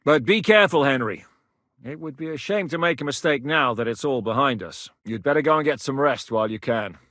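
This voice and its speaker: A man's voice: medium pitch, lively intonation, brisk, fairly bright tone, dry recording, close to an everyday voice. An actor playing a grizzled male war captain in a medieval video game, blunt, commanding and dryly sardonic, recorded in a studio booth. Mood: wary. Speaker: mercenary leader in a medieval game.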